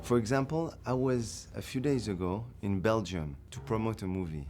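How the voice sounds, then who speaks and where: A man from Morocco, an actor, talking about his role in a documentary interview, animated and quick to laugh. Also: fairly low pitch.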